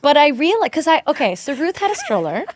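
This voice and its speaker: A woman's voice: high voice, sing-song, fast, dry recording. A woman from Sweden, a native Swedish speaker, chatting informally and animatedly as a podcast guest. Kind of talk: casual chat.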